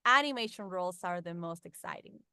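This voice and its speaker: Mexican lady chatting on a podcast, smooth clear voice, dry close sound, friendly. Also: lowish voice, lively intonation, brisk, bright, forceful tone, dry recording. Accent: Mexican Spanish. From northern Mexico. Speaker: voice actress, guest. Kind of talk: casual interview chat.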